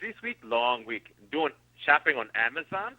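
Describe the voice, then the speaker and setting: A man guesting on a comedy radio show, playing along in a halting, giggly way. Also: mid-range voice, big pitch swings, lo-fi sound. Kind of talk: improvised comedic banter.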